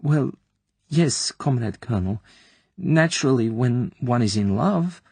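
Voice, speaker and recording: A man's voice: lowish voice, lively intonation, normal speed, gravelly, a little mellow, breathy edge, dry recording, heavily acted. A man voicing a frightened young female Soviet corporal who answers in a timid, hesitant, obedient way.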